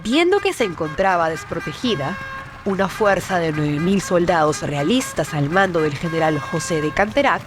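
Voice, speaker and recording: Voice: medium pitch, very animated, moderate pace. A narrator reads a scripted history documentary. The delivery is that of prepared narration, with faint music underneath.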